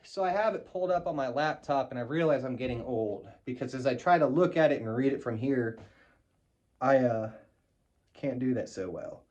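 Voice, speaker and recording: A man's voice: medium pitch, animated, normal speed, darkish tone, highs cut off. A middle-aged man from the United States casually showing and explaining a wartime flag on camera, blunt and profane, with wry asides. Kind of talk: casual show-and-tell vlog.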